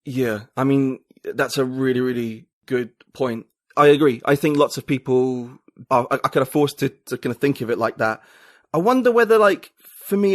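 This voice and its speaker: A man speaking as a podcast guest, musing and thinking out loud with plenty of fillers. His voice sits at an ordinary middle pitch. The recording is close and dry, with no room sound. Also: dry recording. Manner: reflective, thinking aloud with many fillers. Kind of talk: casual interview conversation.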